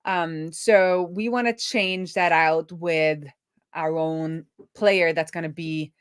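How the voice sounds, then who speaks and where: A woman's voice: sits low, fairly even intonation, takes it slowly, clean tone, no echo, clean studio sound. A woman hosting a casual coding livestream, thinking aloud informally as she works. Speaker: livestream host, web developer. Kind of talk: casual live coding commentary.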